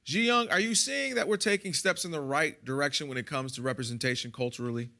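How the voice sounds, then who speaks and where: A man moderating a roundtable, asking measured questions. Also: a little high, bright, forceful tone. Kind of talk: interview questions.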